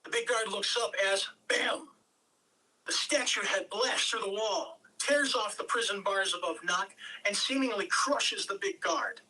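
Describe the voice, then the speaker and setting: An amateur script reader narrates action and scene headings dramatically. The voice is bright and takes its time, heard away from the mic with some background noise. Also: medium pitch, a little slow, far from the mic, soft background music, rough recording. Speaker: script reader at a table read. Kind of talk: expressive amateur reading of screenplay directions.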